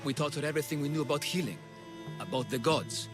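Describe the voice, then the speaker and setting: An actor playing a grave, devout ancient Greek priest and healer with a solemn, measured manner in a video game. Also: male voice, on the high side, lightly acted. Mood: earnest. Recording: game music behind the voice.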